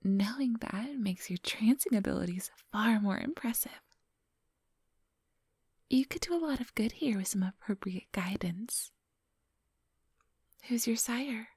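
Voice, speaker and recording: A woman acts out an ancient female vampire in an ASMR roleplay, whispering very breathily and very close. Her delivery is slow and silky, with a faint gravel underneath. She sounds polite, condescending and quietly dangerous. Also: a little high, lively intonation, dry recording, exaggerated voice. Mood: curious, patronizing. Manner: slow, unhurried, silky and patronizing. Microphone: close microphone.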